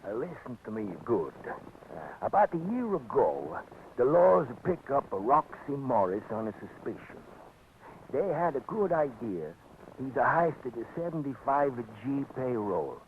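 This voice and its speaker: An actor playing a shady underworld undertaker who talks in gruff street slang. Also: male voice, medium pitch, very animated, unhurried. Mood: hushed, confiding. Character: shady underworld undertaker, middle-aged man. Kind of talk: 1940s radio acting. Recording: tinny 1949 radio broadcast with faint hiss.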